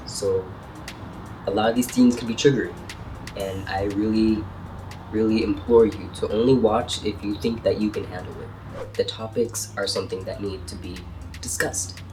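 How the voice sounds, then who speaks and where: A man in his twenties with a cold and a congested voice, earnestly talking through personal experiences in a vlog. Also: fairly low pitch. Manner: earnest, heartfelt, reflective. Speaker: vlogger. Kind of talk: personal vlog talk to camera. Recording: distant mic with soft music behind.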